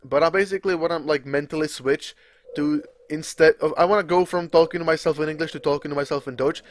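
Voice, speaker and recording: A man's voice: fairly high pitch, steady tone, fast. A young man whose first language is Czech, rambling casually over gameplay, swearing freely and laughing at himself. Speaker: gaming video creator. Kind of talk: casual rambling live commentary.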